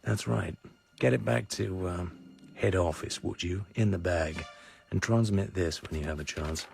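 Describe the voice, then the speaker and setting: An actor with a British accent playing a cool, determined secret agent in a radio drama. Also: male voice, sits low, unhurried, creaky, a little hiss or hum, exaggerated voice. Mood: businesslike. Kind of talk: radio drama acting with narrated thoughts. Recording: radio play with loud music underneath.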